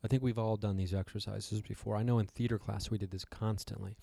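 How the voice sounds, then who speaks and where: Low, mellow man, slightly raspy. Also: little pitch movement, fast, no room sound. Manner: wry, self-deprecating asides. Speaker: host giving a talk on meditation. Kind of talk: casual rambling monologue. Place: hotel room.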